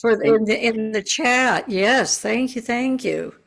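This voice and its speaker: A woman's voice: fairly high pitch, measured pace, gravelly. A woman in her sixties, a retired teacher, asking questions in an online seminar over a video call, earnest and searching for words.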